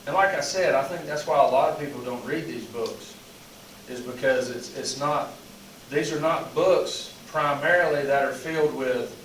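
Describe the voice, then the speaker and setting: A hoarse man, a pastor casually leading a Bible study, talking in rambling sentences full of "you know". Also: mid-range voice, normal speed, a bit bright, slight background noise. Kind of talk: casual teaching and group discussion. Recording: echoey room, mic at a distance.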